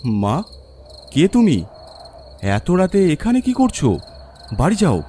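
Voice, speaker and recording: Man voicing an earnest old watchman, lively pitch. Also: slow pace, slight background noise, full character voice. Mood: gentle, puzzled. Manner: fatherly, earnest. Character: kindly, brave older village graveyard watchman. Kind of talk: horror cartoon story acting.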